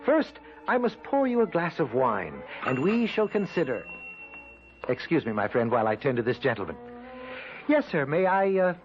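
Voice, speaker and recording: Elderly cultured shopkeeper, high voice, flowery manner, radio drama, music behind. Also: male voice, sing-song, normal speed, lo-fi sound. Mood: genial, expansive. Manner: courtly, flowery, formal speech. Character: genial, cultured, philosophical foreign-born old shopkeeper. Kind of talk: old-time radio drama acting.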